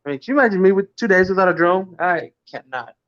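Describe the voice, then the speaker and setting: A man's voice: high voice, animated, quick pace. A man from Cuba hosting a casual livestream, rambling and joking, chuckling as he talks. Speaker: livestream host. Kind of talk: casual chat. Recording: dull lo-fi stream audio.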